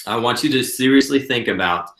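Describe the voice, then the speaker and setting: A man's voice: medium pitch, fairly quick, a bit bright. Earnest man in his thirties, roomy sound. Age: 30s. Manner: earnest, encouraging, conversational. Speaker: business coach. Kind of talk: instructional workshop talk. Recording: roomy echo.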